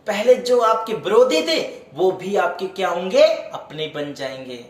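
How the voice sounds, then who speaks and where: High-voiced slow fervent preacher man. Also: lively intonation, reverberant. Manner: slow fervent preaching, addresses listeners as beloved. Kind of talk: sermon with worship singing and prayer.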